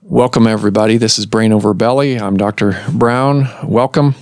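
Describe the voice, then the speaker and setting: A man hosting a medical podcast as a doctor, explaining in a measured way with some fillers, recorded in a clinic office. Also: on the low side, fairly even intonation, dry recording.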